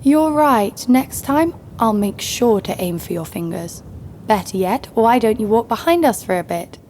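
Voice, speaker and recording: A woman's voice: a little high, lively intonation, unhurried, a little mellow, a little hiss or hum. A woman playing a tough, sardonic young bounty hunter who narrates an audio drama in a wry, world-weary way. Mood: threatening. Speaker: narrator and lead.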